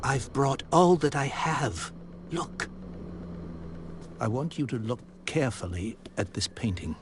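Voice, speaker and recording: A man voicing a stern, grieving old gentleman in a game, formal and haughty speech, mid-pitched voice, faint background hum. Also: animated, a little slow, natural voice. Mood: pleading. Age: older. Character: older grieving industrialist, proud and stern. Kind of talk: game voice acting.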